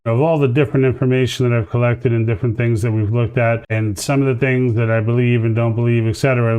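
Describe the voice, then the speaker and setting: Smooth-voiced male podcast host. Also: medium pitch, darkish tone. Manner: earnest, emphatic explaining. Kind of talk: commentary on video clips.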